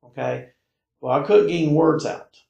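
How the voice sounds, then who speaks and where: Gravelly American man, halting storyteller. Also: on the high side, warm tone. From North Carolina, United States. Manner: halting, emphatic, repeats short phrases. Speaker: podcast guest recounting personal paranormal experiences. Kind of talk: casual conversational storytelling. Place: indoor room, in-person conversation. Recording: roomy, echoey indoor recording.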